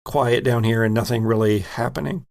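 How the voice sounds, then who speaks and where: A man narrating a tabletop game as game master, laid-back and wry, with pauses in his narration. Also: medium pitch, fairly even intonation, gravelly, darkish tone.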